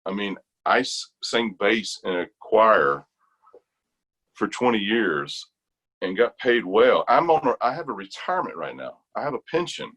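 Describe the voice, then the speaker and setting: Middle aged american guy w a low voice, casual storyteller, animated n slangy. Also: a little slow. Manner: animated, colloquial, peppers talk with "man" and "like". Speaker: interview guest, retired army chorus bass singer.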